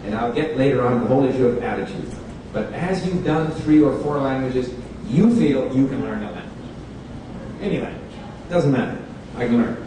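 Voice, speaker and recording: A man's voice: mid-range voice, moderate pace. Man at a bookstore event, chatty talker, mid-range voice, picked up far off in a reverberant space. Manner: relaxed, chatty, switches languages, asks the audience questions. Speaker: speaker giving a talk on language learning. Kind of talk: informal public talk to an audience. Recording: echoey room recorded from far off, background noise.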